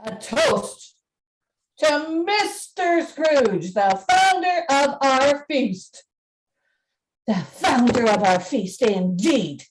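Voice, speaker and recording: A poor family parent from a radio play acted by a woman, speaking at a measured, slow pace. The voice is high with lively movement in pitch. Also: reverberant, far from the mic, heavily acted. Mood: bitter. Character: Cratchit household parents, poor, grudging at festive toast.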